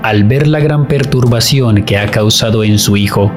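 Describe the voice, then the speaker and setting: A man narrating a scripted radio drama in a measured, solemn storytelling manner. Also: on the low side. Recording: loud music over the narration.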